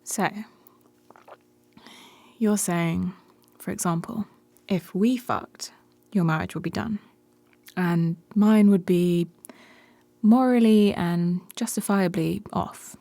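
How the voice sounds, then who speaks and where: Brash, low-voiced runaway bride. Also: female voice, takes it slowly, gravelly, long pauses, mellow, dry recording. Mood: dry, flirtatious. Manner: blunt, sardonic, foul-mouthed. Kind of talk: naturalistic radio play acting.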